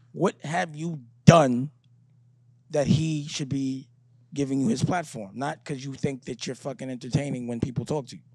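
A man's voice: medium pitch, fairly even intonation, normal speed, darkish tone, dry recording. A man interviewing in a studio, pressing his guest with blunt, repeated questions. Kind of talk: confrontational interview.

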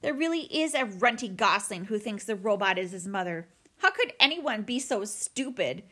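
A woman's voice: mid-range voice, lively intonation, moderate pace, a bit bright. A storybook bully of a young bear, acted out by a woman reading aloud. She is a bossy older sister with a sarcastic edge. She sneers and taunts as she talks. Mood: sarcastic, mocking.

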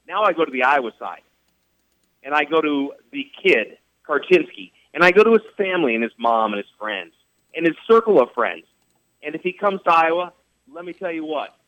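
A man's voice: fairly high pitch, pushed and bright, no echo. A man hosting sports radio talk, emphatic and repetitive, firing off rhetorical questions. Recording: dull radio studio sound, dry.

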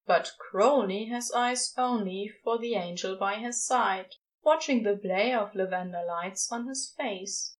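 A woman's voice: medium pitch, measured pace, hardly any pauses. A woman reading a story aloud as a scripted fiction narrator. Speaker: podfic narrator.

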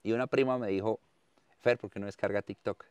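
A man in his thirties with a Colombian accent from Santander, talking as the interviewee about his life in earnest, expressive stories and often repeating words for emphasis. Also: on the low side, brisk, clear, resonant tone, dry recording. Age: 30s. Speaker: interviewee, farmer and content creator. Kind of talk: conversational storytelling interview.

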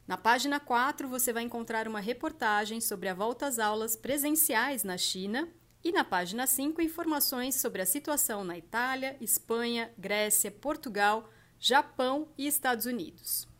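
A woman podcast host and journalist reading a news roundup and interviewing a guest in a steady, clear presenter delivery. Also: on the high side, normal speed.

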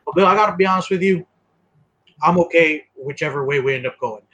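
A man's voice: on the high side, fast, fairly bright tone. A man who teaches, co-hosting a podcast with joking, exasperated banter. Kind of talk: casual podcast chat.

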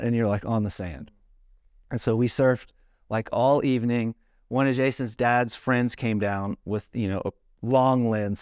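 A man's voice: medium pitch, darkish tone, smooth, no room sound, studio quality. A middle-aged man talking casually, rambling with lots of "like" and "you know.". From South Carolina, born in Hawaii. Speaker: podcast guest, surfboard shaper. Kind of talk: casual conversation.

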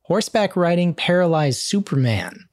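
Woman as a fussy, low-voiced anxious teenage boy. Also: moderate pace. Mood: fussy, worried. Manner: prim, deadpan, worrying. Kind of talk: comedic script table read.

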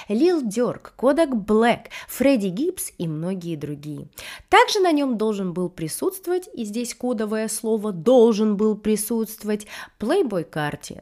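A woman's voice: mid-range voice, warm tone. A woman hosting a music news podcast in a casual, slangy way, her voice nasal from a cold, swearing freely.

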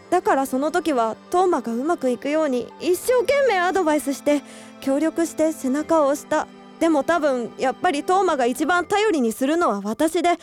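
An actress in a radio drama playing an earnest young woman who hides her love for a childhood friend. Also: very high-pitched. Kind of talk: Japanese radio drama acting.

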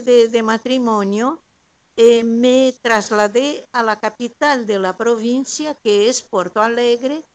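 An older woman from Brazil, a native Portuguese speaker talking in Spanish with a Brazilian accent and a Rioplatense lilt, giving a measured, polite account of her life over a video call. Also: fairly high pitch, dry recording. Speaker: guest artist. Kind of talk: slow, careful personal account. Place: her home, remote link.

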